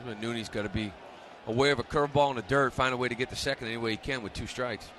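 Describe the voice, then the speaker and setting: A man gives conversational color commentary on a baseball broadcast. He talks extremely fast at a medium pitch, over faint stadium noise. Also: steady tone, a bit bright. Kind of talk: conversational live sports analysis.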